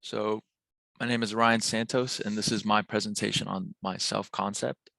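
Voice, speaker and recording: A young man reading his class presentation in a steady manner. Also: lowish voice, normal speed, vocal fry, no echo. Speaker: student presenter.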